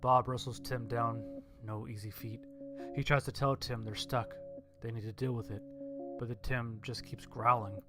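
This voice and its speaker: Even-toned male story narrator over music. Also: mid-range voice, normal speed. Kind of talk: scripted horror story narration. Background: instrumental music.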